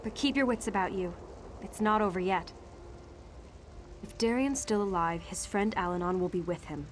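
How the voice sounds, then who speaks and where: An actress playing a steady, practical female war leader in a fantasy video game, speaking in a measured, serious way. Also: mid-range voice, a little hiss or hum, natural voice. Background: clear music.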